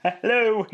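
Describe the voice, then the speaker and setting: A man playing an overjoyed old miser turned merry in a classic radio drama, exclaiming and stammering with excitement. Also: high-pitched, muffled recording. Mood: giddy, carefree.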